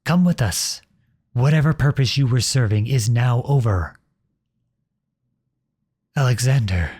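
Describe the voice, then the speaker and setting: A man playing a noble runaway outlaw prince in a dramatic audio roleplay, earnest and imploring, with a husky voice. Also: medium pitch, expressive, measured pace, darkish tone, dry recording, clean studio sound. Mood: urgent, distressed. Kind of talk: dramatic ASMR roleplay acting.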